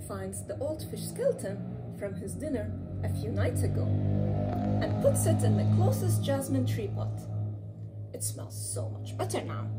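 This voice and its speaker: A woman reading a children's storybook aloud in an expressive, dramatic way with playful emphasis. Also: a little high, poor audio. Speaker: children's author reading her own book aloud. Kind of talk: animated storybook read-aloud.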